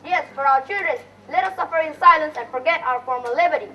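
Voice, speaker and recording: A woman's voice: high voice, fast talker, bright, forceful tone, lo-fi sound. A young woman playing a scheming, bickering father in an amateur stage farce. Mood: theatrical, resigned. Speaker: student actor. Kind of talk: amateur stage play acting. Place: school stage, recorded from afar. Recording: distant mic, noisy room.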